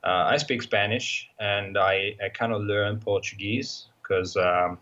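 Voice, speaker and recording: A man's voice: on the low side, steady tone, a little slow, pushed and bright, dull audio. A man with an Italian accent, talking casually as an interview guest, hesitant and full of fillers and restarts. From Italy. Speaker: guest, motorcycle traveler.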